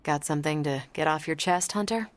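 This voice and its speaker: A woman's voice: low voice, a little fast, no echo, close to an everyday voice. A woman playing a confident, teasing, breezy superhero in a video game. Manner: wry, playful banter.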